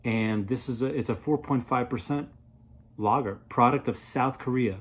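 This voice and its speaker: A man in his thirties reviewing a product in a casual, playful way, rambling as he thinks aloud, with a slightly husky voice. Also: medium pitch, moderate pace. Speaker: beer reviewer, vlog host.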